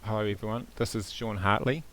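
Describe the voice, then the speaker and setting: A man's voice: fairly low pitch, steady tone, a little fast, a bit bright, no echo, low-quality recording. A man hosting a casual podcast interview, easygoing and chatty, with plenty of fillers. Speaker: podcast host.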